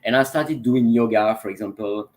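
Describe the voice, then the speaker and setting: A man's voice: on the low side, normal speed, darkish tone. A Belgian man in his forties, a transformation coach talking as an interview guest, with frequent fillers and restarts. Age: 40s. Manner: hesitant, many fillers and restarts. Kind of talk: casual interview talk.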